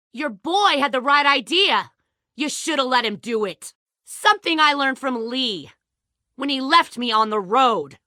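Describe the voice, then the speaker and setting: A woman's voice: high-pitched, sharp tone, no echo, studio quality, exaggerated voice. An actress voicing a ruthless, hardened woman who leads a survivor militia in a video game drama, speaking in a controlled, menacing and persuasive way. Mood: bitter, strained.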